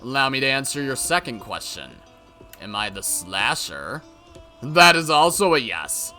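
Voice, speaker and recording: An unhinged male writer, acted out by a man, theatrical and volatile. His voice is sharp and forceful and swings wildly in pitch, with music playing behind it. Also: big pitch swings, a little slow, full character voice. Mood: smug, menacing. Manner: shouting, wailing, taunting, theatrical. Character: unhinged male freelance writer, shifting from panic to cold menace.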